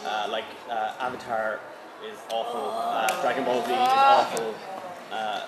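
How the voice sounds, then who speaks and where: A man's voice: medium pitch, animated, a little slow, gravelly, over a noisy crowd. A man in the audience asking a question hesitantly, full of fillers. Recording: echoey hall, distant mic, loud background noise.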